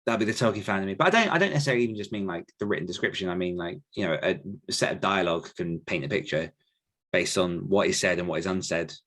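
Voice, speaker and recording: A man speaking casually and reflectively as an author being interviewed, often pausing to search for his words. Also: low-pitched, expressive, fairly bright tone. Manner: thoughtful, halting, searching for words. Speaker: podcast guest author answering questions.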